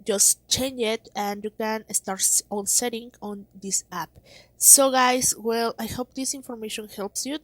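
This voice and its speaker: Woman with a highish voice doing a casual software walkthrough, kinda halting, really dry sound. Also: fairly even intonation, no echo, rough recording. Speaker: tutorial presenter. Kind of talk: casual screen tutorial narration.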